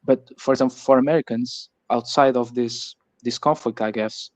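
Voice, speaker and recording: Male discussion guest, Portuguese accent, flat intonation, halting and repetitive phrasing, muffled audio. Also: mid-range voice. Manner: halting, repeats words while searching for phrasing. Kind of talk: casual political discussion. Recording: muffled, echoey voice-chat audio.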